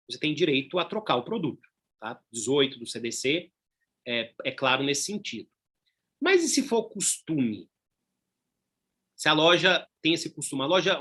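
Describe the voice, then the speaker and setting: A man's voice: fairly high pitch, fairly bright tone, husky. A middle-aged man with a Brazilian Portuguese accent giving a conversational law lecture, full of tag questions and asides. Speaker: law professor.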